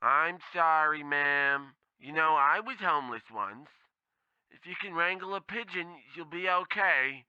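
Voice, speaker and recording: A man's voice: fairly high pitch, measured pace, clear, resonant tone, dry recording, lo-fi sound, close to an everyday voice. A man playing a blithely tactless temp agency clerk who rambles and bumbles in a comedy radio play. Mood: bluntly discouraging. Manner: rambling, bumbling, bluntly insensitive.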